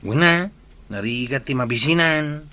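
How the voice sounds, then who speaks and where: A man's voice: mid-range voice, expressive, a little slow, bright, forceful tone, slight background noise. A man playing a jumpy, complaining sidekick in a village comedy drama, speaking with an Ilocano accent. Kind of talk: comic radio-drama acting.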